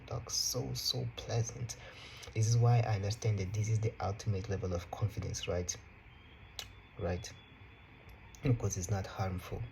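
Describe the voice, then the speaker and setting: Young guy, portuguese first language, mellow lowish voice, slow easy talk with lots of "you know", faint hiss behind. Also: rough recording. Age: young adult. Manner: relaxed, unhurried, repeats phrases, fillers like "you know" and "right". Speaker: vlogger. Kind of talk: casual motivational talk to camera. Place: his room.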